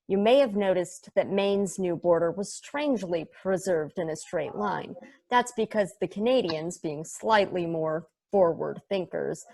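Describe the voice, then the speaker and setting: A woman's voice: on the low side, gravelly, a little mellow, poor audio. A woman as a blunt, chatty space probe. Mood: earnest, explanatory. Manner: casual, profane, rattles through a numbered list. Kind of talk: amateur play table read.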